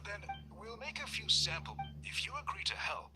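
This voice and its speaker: A woman's voice: a little high, big pitch swings, moderate pace, over loud music. A woman voicing a polite, businesslike young woman offering work in a video game. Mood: courteous.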